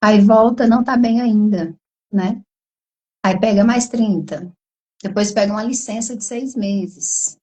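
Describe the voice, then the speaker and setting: A woman psychologist with a Brazilian Portuguese accent, hoarse from a cold, explaining at length in a live online conversation and tagging her sentences with questions. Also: medium pitch, a little slow, mellow, rough recording. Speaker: psychologist guest.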